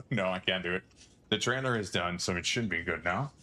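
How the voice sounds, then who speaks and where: A man's voice: low-pitched, moderate pace, bright, forceful tone. A male streamer chatting casually on a livestream, rambling, swearing and chuckling often.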